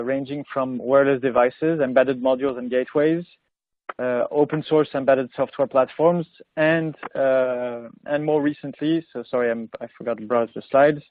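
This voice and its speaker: Flat-voiced man presenting over a phone line. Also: medium pitch, no echo. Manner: halting, frequent "uh" fillers, listing offerings. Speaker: company representative panelist. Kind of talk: business presentation. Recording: dry tinny phone-line audio.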